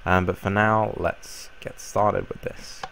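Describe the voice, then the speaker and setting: A young man with a croaky voice from a cold, casually explaining a game build in a rambling way. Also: lowish voice, takes it slowly, slight background noise. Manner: relaxed, rambling explanation with fillers. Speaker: Minecraft YouTuber. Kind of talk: casual gameplay tutorial.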